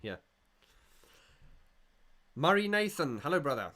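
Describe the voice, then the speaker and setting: A man's voice: fairly high pitch, very animated, takes it slowly, bright, forceful tone. A middle-aged man from South Africa hosting a casual livestream, reading viewer comments aloud and rambling with frequent restarts. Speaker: livestream host.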